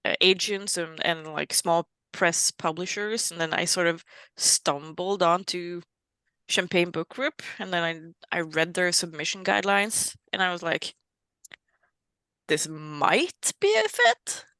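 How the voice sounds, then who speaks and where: A woman's voice: on the low side, lively intonation, unhurried, pushed and bright, clear, resonant tone, no room sound. A woman from Norway with a Norwegian accent, a guest author chatting casually, with many fillers and self-mocking laughs. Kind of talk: casual interview chat.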